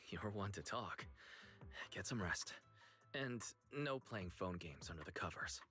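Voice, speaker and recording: An actor playing a calm, caring, protective young man in a video game, speaking in a gentle, measured way. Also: deep voice, heavily acted. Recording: game music underneath.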